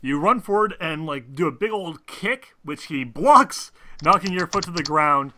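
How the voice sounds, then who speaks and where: A man's voice: fairly high pitch, lively intonation, moderate pace, bright, forceful tone, a little hiss or hum. A man acting as game master, narrating a tabletop game off the cuff in an informal, hedging way.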